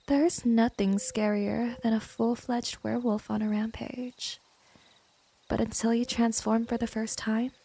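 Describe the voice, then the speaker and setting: A woman's voice: fairly high pitch, warm tone, heavily acted. A woman playing a teasing, domineering vampire in an ASMR roleplay, talking straight to the listener in a condescending, seductive way. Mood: slow, hypnotic. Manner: slow, condescending, seductive, breathy drops into whisper. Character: smooth, teasing, domineering female vampire, gently predatory.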